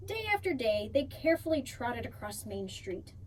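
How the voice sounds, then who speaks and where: Woman reading a kids book out loud, expressive, highish voice, bit far from the mic. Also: normal speed. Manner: expressive, drawing out key words for children. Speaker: picture book reader. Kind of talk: expressive children's story read-aloud. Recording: distant mic, faint background noise.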